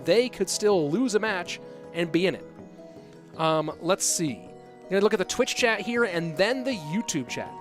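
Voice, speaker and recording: A man casually casting an esports stream, reading viewer chat aloud and answering in a relaxed, rambling way. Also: high voice, unhurried, faint music behind.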